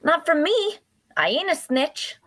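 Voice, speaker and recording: Chatty, teasing young female adventurer played by a woman, very animated delivery, folksy and persistent, for fantasy audio drama. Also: extremely high pitch, a little slow, full character voice. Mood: curious, friendly. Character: chatty, nosy, cheerful young female traveler. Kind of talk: dark fantasy audio drama table read.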